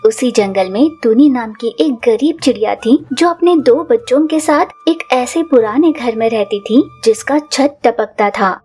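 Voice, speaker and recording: A woman narrating a cartoon story in a steady, scripted way. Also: a little high, a little slow, hardly any pauses, slightly rough, soft background music.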